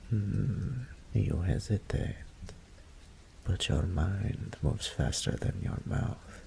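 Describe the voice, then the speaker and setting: Brief: a smug incubus demon voiced by a man, very deep and very slow, gravelly whispers, faint rain behind. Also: lively intonation, mellow, slight background noise, full character voice. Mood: seductive, teasing. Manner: slow, purring, seductive, condescending. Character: seductive male greater incubus demon, smug, teasing. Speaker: voice actor. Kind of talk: audio roleplay drama.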